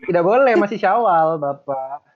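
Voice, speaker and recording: A man's voice: high-pitched, animated, normal speed, highs cut off. A young man co-hosting a casual podcast, cracking jokes and slipping into regional slang. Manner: jokey, slips into dialect banter. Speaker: podcast co-host.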